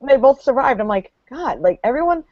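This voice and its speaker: A woman's voice: high voice, sing-song, fairly quick, no echo. A woman in a casual book discussion livestream, talking in slang and acting out her own reactions. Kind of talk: casual chat. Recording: dull, lo-fi livestream audio.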